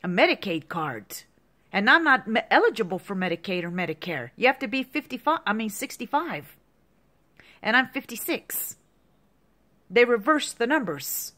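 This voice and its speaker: A woman's voice: a little high, very animated, moderate pace, bright, forceful tone. A woman in her fifties in an agitated, repetitive rant to the camera, heavy with profanity. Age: 50s. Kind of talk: angry monologue to camera.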